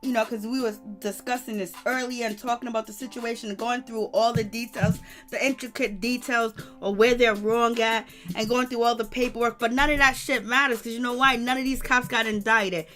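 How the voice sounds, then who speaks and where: Hoarse woman ranting on a livestream. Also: fairly high pitch, steady tone, fairly quick, hardly any pauses, sharp tone, faint music behind. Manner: fervent, preachy, talks to chat viewers. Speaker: livestream host. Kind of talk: impassioned livestream commentary.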